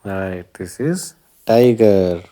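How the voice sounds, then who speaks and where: A man's voice: lowish voice, lively intonation, very slow, dark tone. A man presenting a children's lesson in short, simple phrases, often prompting listeners with questions. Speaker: presenter of a children's learning video. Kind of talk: simple educational narration for young children, asking questions.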